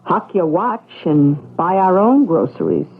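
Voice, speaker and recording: A woman's voice: lowish voice, sing-song, measured pace, slightly rough, heavily acted. A woman acting an anxious, loyal working-class American wife in an old-time radio drama, hesitant and coaxing. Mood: pleading, coaxing. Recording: old radio broadcast, thin narrow band.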